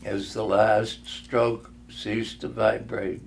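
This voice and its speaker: An older man reads a story aloud, slowly and with dramatic weight. His voice is lowish and creaky, and his measured delivery halts and stumbles in places. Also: flat delivery, slight background noise. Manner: measured, halting, with stumbles and repeats. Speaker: reader.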